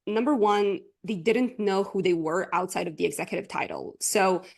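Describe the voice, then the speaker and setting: A woman's voice: mid-range voice, normal speed, gravelly. A woman from Croatia, speaking English, chatting as a guest coach on a podcast with lots of fillers and frequent laughter. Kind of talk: casual interview chat.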